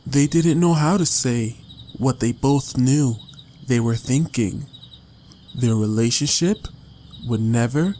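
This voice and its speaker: A man narrates scripted fiction in a dramatic audiobook style. His voice sits at a middle pitch. A faint layer of noise runs behind the reading. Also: takes it slowly, dark tone.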